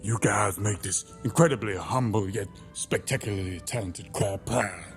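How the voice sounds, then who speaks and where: A low-pitched crab detective, acted by a man, pompous and sentimental. He tells his log with grand melodrama for a comic audio drama, over music. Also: moderate pace, natural voice. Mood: dramatic narrating. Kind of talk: comedic audio drama narration and dialogue. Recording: music behind the voice.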